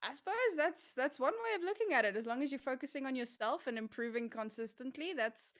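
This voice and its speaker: A woman with a fairly high voice hosts a live podcast in a casual interview style. She chats conversationally with her guests and is quick to laugh. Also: lively intonation. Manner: laughs easily and often. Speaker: podcast host.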